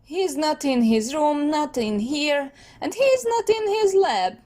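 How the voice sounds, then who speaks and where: A woman's voice: sits high, lively intonation, slow pace, a little mellow. A woman performing character dialogue for an amateur comic dub.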